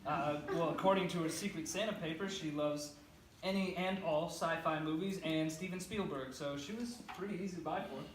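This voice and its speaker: A man's voice: on the high side, a little fast, close to an everyday voice. Man acting a nervous smitten office guy, stammering, stage play reading, roomy distant recording. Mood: flustered. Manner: hesitant, stammering. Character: nervous, smitten young male office worker in love. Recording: roomy, distant mic, faint noise.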